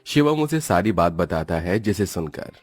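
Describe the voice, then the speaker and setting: A man's voice: sits low, sing-song, normal speed, husky, dry recording, studio quality, faint music behind. A man reading scripted narration for a cartoon story.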